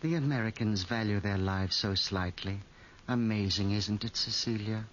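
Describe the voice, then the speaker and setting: A man's voice: fairly low pitch, moderate pace, fairly bright tone, close to an everyday voice. An actor playing a sinister fat man, a pompous connoisseur of murder who talks hesitantly and with relish, in 1940s radio style. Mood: coolly amused. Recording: muffled, hissy 1940s radio recording.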